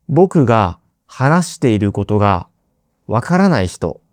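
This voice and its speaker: Man, language teacher, very slow and deliberate, mellow voice, dry close mic. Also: mid-range voice, no echo. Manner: explains words in simple terms, playful asides. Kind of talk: slow, clear podcast lesson for learners.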